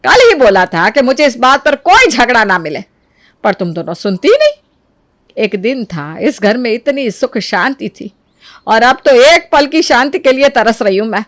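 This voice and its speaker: A woman's voice: fairly high pitch, very animated, normal speed, dry recording, clean studio sound. Woman acting an exasperated, scolding older mother-in-law. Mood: weary, exasperated. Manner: scolding, weary, authoritative. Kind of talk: animated family story dialogue.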